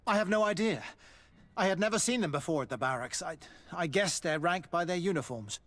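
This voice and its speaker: An actor playing an evasive, formal army doctor in a video game, hesitant and deferential. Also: male voice, high-pitched, expressive, a little fast, fairly bright tone. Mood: anxious, confessing. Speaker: military doctor. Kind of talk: dramatic video game acting.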